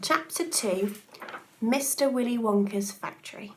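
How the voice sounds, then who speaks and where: A woman's voice: on the high side, measured pace, slight background noise, rough recording. A woman reading a children's story aloud expressively, in the manner of a schoolteacher.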